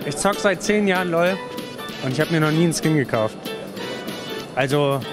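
A man's voice: a little high, moderate pace. A man in his twenties chatting casually in an interview, on a handheld microphone. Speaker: festival visitor being interviewed. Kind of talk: casual chat. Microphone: handheld reporter microphone. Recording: music laid over the voice.

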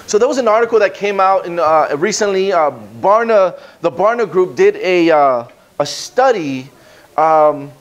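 A man in his thirties preaches from a small church stage into a handheld mic, his New coming through. His voice sits a little high for a man, and he talks with lively, conversational energy, restarting sentences as he goes. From Brooklyn, New York. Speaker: guest pastor. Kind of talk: sermon with prayer and scripture reading. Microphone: handheld microphone.

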